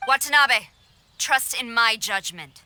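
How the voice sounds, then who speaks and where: An actress playing a stern, dutiful female army general in a video game, speaking in a formal, measured, authoritative way. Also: on the high side, sharp tone, a little hiss or hum, heavily acted. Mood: urgent, commanding.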